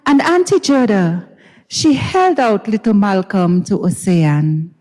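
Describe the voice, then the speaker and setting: A woman giving a dramatized literary reading on stage in a recital hall, deliberate and theatrical, with pauses and repetition. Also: mid-range voice, lively intonation, a little mellow. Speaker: dramatic reader. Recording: recital hall with long reverb.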